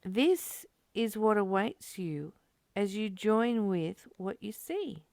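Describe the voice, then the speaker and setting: A woman giving a spiritual reading and reflection as a teacher, in a deliberate, measured way with emphatic pauses. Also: medium pitch, animated, a little mellow, no echo, clean studio sound. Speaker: study group teacher reading and commenting.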